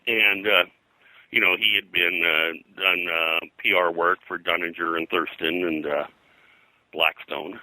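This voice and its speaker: A man with a low, forceful voice talks as a guest historian in an informal interview. He piles up details haltingly and wanders into asides. The tone is very bright and the sound is dry and close. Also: steady tone, dry recording, low-quality recording. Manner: halting, digressive, detail-heavy explaining. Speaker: pop culture historian, guest.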